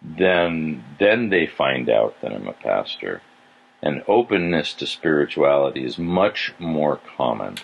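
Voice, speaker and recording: A man's voice: extremely low pitch, sing-song, measured pace, gravelly, husky. A man from California, a pastor hosting a podcast, musing and thinking aloud in casual conversation. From California, USA. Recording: dull lo-fi sound.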